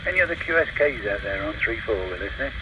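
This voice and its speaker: A man's voice: fairly low pitch, expressive, quick pace. A man running a net over CB radio, chatting and calling in other stations. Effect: heard over CB radio. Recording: very noisy, distant CB radio reception.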